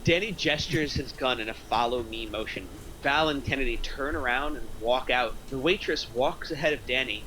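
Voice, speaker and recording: A man's voice: mid-range voice, moderate pace, hardly any pauses, noisy background. A man narrating stage directions from a script at a table reading.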